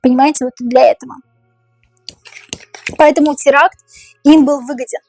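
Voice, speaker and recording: High-voiced woman with a tiring voice. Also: steady tone, a little mellow, faint music behind, low-quality recording. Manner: repeats each question slowly, muses over the cards. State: voice tiring, going hoarse. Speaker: tarot reader. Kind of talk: tarot reading to camera, thinking aloud.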